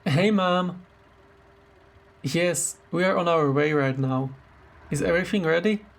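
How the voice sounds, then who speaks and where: A man playing a tender, caring boyfriend in a romantic roleplay audio drama, speaking gently and affectionately. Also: fairly high pitch, unhurried. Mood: cheerful, fond. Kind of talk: romantic roleplay audio drama, intimate one-sided dialogue.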